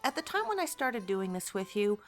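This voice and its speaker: A woman's voice: mid-range voice, sing-song, a little fast, faint music behind. A woman speaking candidly and expressively as an interviewed voice actor in a casual online call.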